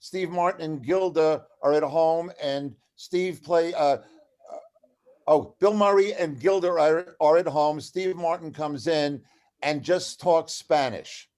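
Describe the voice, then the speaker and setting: Higher-voiced man telling casual anecdotes. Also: slow, a little mellow. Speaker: panel guest, comedy writer recalling his career. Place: video call from New York.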